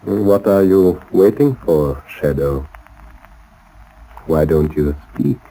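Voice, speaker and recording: Deep, mellow, menacing doctor villain. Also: male voice, animated, full character voice, rough recording. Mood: calm, calculating. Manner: formal, deliberate, polite menace with hesitant pauses. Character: suave, menacing male doctor, a cold-blooded saboteur. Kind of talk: 1930s radio drama acting. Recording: old 1930s radio recording.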